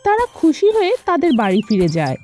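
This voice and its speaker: A woman's voice: sits high, wildly swooping pitch, fairly quick, a little mellow, faint music behind. A woman reading scripted narration for children's cartoon fables, as a storyteller.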